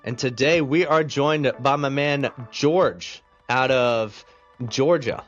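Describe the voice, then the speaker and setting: A man's voice: mid-range voice, slow, soft background music. A man hosting a podcast, upbeat and conversational, who asks loose questions. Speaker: podcast host. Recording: dull lo-fi sound.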